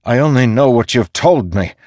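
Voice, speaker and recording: A man's voice: low-pitched, lively intonation, moderate pace, no echo. A commanding general, voiced by a male audiobook narrator, worn down yet stubbornly defiant. His male voice is earnest and demanding in a dramatic fantasy reading, with a dry, close sound. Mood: angry.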